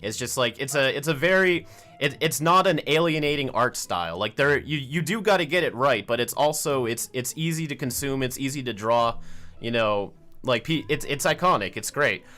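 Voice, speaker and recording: A man's voice: medium pitch, animated, moderate pace, sharp tone. A man in his twenties hosting a casual fan interview, informal and a little hesitant, repeating words as he phrases thoughts. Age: 20s. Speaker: interviewer, fan show host. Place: home office.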